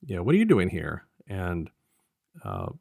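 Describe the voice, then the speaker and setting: Low-voiced man recapping a story casually. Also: wildly swooping pitch, a little slow, creaky, warm tone, no echo, clean studio sound. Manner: rambling, acts out dialogue in colloquial paraphrase. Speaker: podcast co-host reviewing an audio drama.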